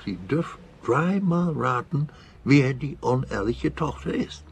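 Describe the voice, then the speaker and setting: An actor playing a jumpy, blustering older emigrant back from America, mixing in English phrases, in a radio play. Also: male voice, medium pitch, animated, measured pace, gravelly, slightly rough, full character voice. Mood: confiding. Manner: indignant, peppered with English phrases.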